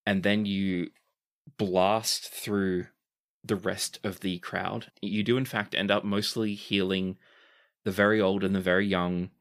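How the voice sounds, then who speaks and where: A man narrating as game master of a tabletop game, relaxed and chatty, correcting himself as he goes. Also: fairly low pitch.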